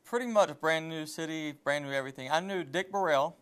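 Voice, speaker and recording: A man in his thirties from southeast Georgia, a church music minister, answering interview questions in a measured way with pauses. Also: on the high side, fairly bright tone, smooth. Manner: measured, plain, pauses with ums. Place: living-room style studio set. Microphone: lapel mic.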